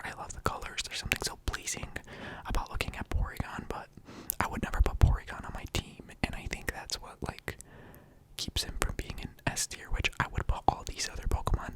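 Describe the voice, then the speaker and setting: A person whispering casual, rambling ASMR-style commentary as a video host, in a hesitant and playful manner. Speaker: video host ranking game characters.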